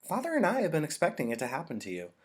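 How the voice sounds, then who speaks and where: A kind storybook mother as a male reader plays her, pitched up from his deep natural voice. She is gentle and reassuring, explaining things patiently to her child. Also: huge pitch swings, racing, breathy edge, exaggerated voice.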